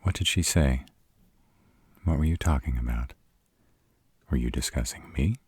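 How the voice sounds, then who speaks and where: A man playing a sarcastic, brooding vampire with a soft spot, dry and grudging, in a roleplay audio drama. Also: a bass voice, unhurried, breathy edge, dry recording, full character voice. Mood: suspicious, sardonic.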